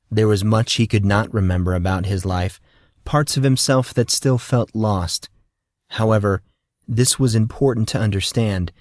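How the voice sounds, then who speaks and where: A man's voice: on the low side, a little mellow, no room sound, studio quality. A man narrating scripted fiction as an audiobook storyteller.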